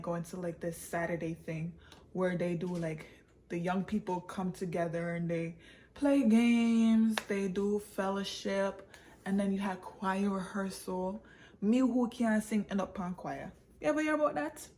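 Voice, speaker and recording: A woman's voice: mid-range voice, a little hiss or hum. Emphatic storytelling woman. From born in Jamaica, raised in England and the United States. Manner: emphatic, repeats phrases, slips into Jamaican Patois. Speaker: vlogger and author telling her own story. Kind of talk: personal storytime, casual and confiding.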